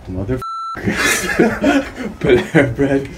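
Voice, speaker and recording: A young man chats slowly and jokingly in a car, recorded on a dashboard camera. Loud music plays behind his voice. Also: mid-range voice, huge pitch swings. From Canada. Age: young adult. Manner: joking, laughing, sarcastic. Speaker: vlogger. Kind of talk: casual vlog chat. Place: inside a car.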